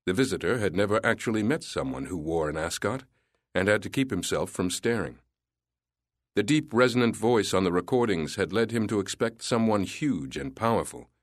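A male audiobook narrator with a low voice, reading a literary thriller in a measured, descriptive way. The sound is dry and close, with no room around it. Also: no echo.

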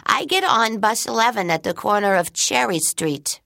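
A woman's voice: mid-range voice, lively intonation, normal speed, creaky, pushed and bright, dry recording, studio quality, voice pushed up. A woman voicing a chatty little schoolgirl in an audiobook. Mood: proud.

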